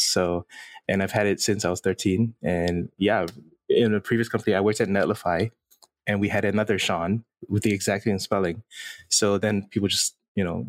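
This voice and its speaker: A man in his thirties from Singapore, a podcast guest talking in a relaxed, reflective way with small laughs. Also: deep voice, dry recording. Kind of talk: casual interview conversation.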